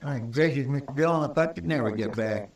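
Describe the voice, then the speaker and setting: A man's voice: mid-range voice, fairly quick, slight background noise, natural voice. A man playing a loyal, fussy, courteous frontier deputy with a countrified manner in a radio western. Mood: fussy, eager. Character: folksy, loyal male deputy helper at frontier jail. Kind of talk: old-time radio western acting. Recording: distant old radio recording.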